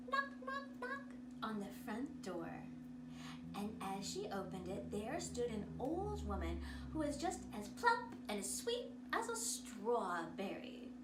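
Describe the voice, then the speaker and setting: A woman reading a children's picture book aloud as a storyteller, theatrical, with dramatic pauses and emphasis. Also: fairly high pitch, wildly swooping pitch. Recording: echoey room, distant mic, noisy.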